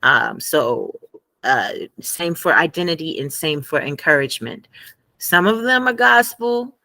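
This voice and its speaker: A woman guest and former math teacher in a conversational interview, telling animated stories and laughing often. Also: deep voice, unhurried, no room sound.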